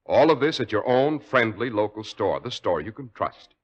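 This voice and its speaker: A man's voice: lowish voice, big pitch swings, normal speed, muffled recording. A man with an American accent announcing and hosting in 1940s radio style, with a polished, salesmanlike manner.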